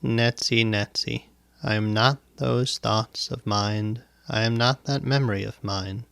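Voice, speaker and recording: A man guiding a meditation as a teacher, speaking in deliberate, instructive phrases with pauses and repetition, close to the microphone. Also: on the low side, steady tone, creaky, a bit bright, smooth, dry recording. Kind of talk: guided meditation, spoken instruction. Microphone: close microphone, dry sound.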